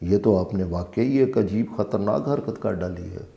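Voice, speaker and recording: Male narrator for scripture translation: dark, soft-edged tone with noticeable fry, deliberate and emphatic phrasing. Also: on the low side. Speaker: translator and reciter of a Quran translation. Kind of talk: scripted religious narration, read aloud.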